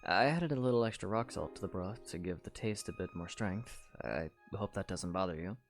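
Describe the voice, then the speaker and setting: A man voicing a calm, deep-voiced young hero. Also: a little fast, soft background music. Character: young male hero, calm and quietly caring. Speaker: voice actor. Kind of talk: fan audio drama acting.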